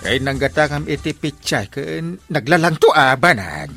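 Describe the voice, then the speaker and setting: A performer acting an excitable, exasperated village captain in a comedy radio drama, full of exclamations. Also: medium pitch, moderate pace, creaky, soft background music. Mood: agitated.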